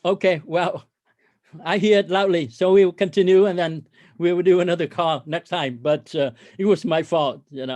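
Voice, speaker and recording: Halting male company executive, dry close sound. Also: on the high side, a little mellow, no echo. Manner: halting, hesitant delivery with frequent stammered hesitations. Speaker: company CEO hosting an investor conference call. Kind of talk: corporate earnings call presentation.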